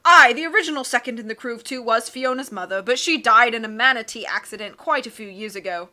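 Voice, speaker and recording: Lady doing a loud rambly sub captain guy, bellows everything, sharp high voice. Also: fast. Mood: hearty bluster. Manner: booming, rambling, cries out his lines. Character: blustering male submarine captain, loud and hasty. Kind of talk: read-aloud storybook acting.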